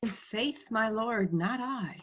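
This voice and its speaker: A woman's voice: on the high side, slow pace, a bit bright. A woman reading the part of a prince's loyal, concerned companion in a Shakespearean drama, with earnest deference. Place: indoors on a video call. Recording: muffled video call audio.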